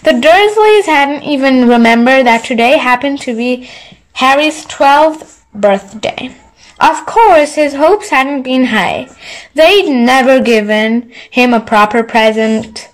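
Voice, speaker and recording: A woman with a somewhat high-pitched voice reads a story aloud for bedtime listeners. She delivers scripted narration as an audiobook reader. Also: slow, poor audio.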